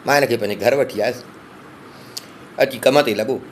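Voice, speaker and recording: A man's voice: mid-range voice, normal speed, a little hiss or hum. A man narrating a long folk tale in first person, dramatic and emotive. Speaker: storyteller. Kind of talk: oral storytelling.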